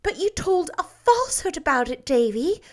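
A woman voicing a gentle young schoolmistress in a storybook, speaking with grave, earnest reproach. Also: sits high, big pitch swings, takes it slowly, voice pushed up, exaggerated voice. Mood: sorrowful reproach. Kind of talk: storybook character voice.

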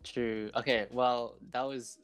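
A man's voice: medium pitch, fairly even intonation, slow pace, faint music behind. A man in his twenties co-hosting a casual podcast chat, talking in laid-back slang. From Philippines. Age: 20s. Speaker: podcast co-host.

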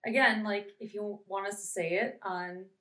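A young woman co-hosting a podcast in casual chat, with a naturally deeper, raspy voice, while she is losing her voice. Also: fairly even intonation, moderate pace, sharp tone. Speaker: podcast co-host.

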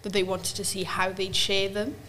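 A woman talks through a topic in a relaxed podcast chat, leaning on "like" as she goes. Her voice sits at a middling pitch. A faint hiss runs under it. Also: normal speed, low-quality recording. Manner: chatty, explains at length with many "like"s. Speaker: podcast co-host. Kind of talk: casual chat.

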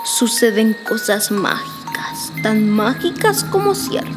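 A woman's voice: a little high, very animated, takes it slowly, big-room sound, music in the background. A woman narrating a school radio play in a storybook style.

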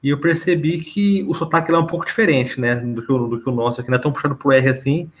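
Chatty São Paulo podcast host. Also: male voice, mid-range voice, quick pace, a little hiss or hum. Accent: São Paulo Portuguese. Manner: loose, chatty, with filler tags. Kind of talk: casual weekly chat.

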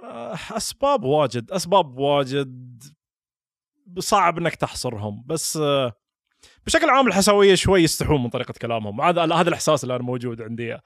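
A young Saudi man speaks with a Hasawi accent at a very rapid clip, often restarting his sentences mid-thought. His voice sits a little high. The recording is dry and close, with no room sound. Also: very animated, a bit bright, no echo. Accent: Hasawi Saudi Arabic. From Saudi Arabia (Al-Ahsa). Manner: quick, halting, with frequent restarts and short laughs. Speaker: podcast guest, content creator. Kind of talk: casual interview talk.

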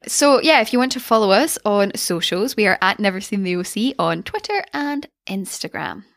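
A chatty woman hosts a TV rewatch podcast in a relaxed, playful way. She talks through episode recaps casually and jokes with her co-host. Her voice sits at an ordinary middle pitch. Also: moderate pace, creaky.

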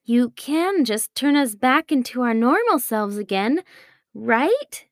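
Storybook young sister, read by a woman: earnest, firm, practical, lively high voice. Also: slow, dry recording, studio quality, heavily acted. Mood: doubtful. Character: sensible, firm young girl, the worried sister.

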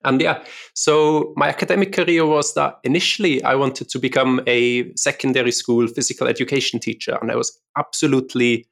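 A man from Austria talks through his career as a podcast guest, relaxed and chatty, with casual fillers. His voice is gravelly with some fry and sits in a middle pitch. He is easy-going and conversational. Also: fairly quick. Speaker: guest lecturer. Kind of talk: podcast interview.

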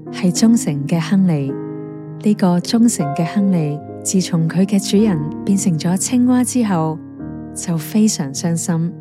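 A woman with a Hong Kong Cantonese accent gently narrating a fairy tale. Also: on the low side. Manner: gentle, even storytelling. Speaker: storyteller. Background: soft piano music.

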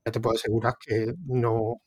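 Un hombre de Córdoba con acento andaluz, anfitrión de un podcast, que entrevista en tono de charla y divaga con muchas dudas y reinicios. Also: male voice, medium pitch, flat delivery, normal speed, slightly rough, dry recording. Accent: Andalusian Spanish. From Córdoba, Spain. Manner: rambling, hesitant, restarts thoughts. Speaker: podcast host interviewer. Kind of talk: casual interview conversation.

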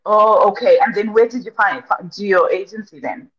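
A woman's voice: medium pitch, a little fast. A woman hosting a podcast interview, chatty and loose in her phrasing. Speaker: podcast host. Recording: dull lo-fi audio.

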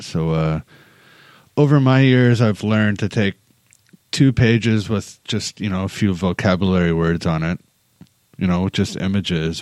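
A man's voice: deep voice, vocal fry, no echo. A man in a casual chat about teaching, with a laid-back, joking, rambling way of talking. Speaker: English teacher in Korea, talk show speaker.

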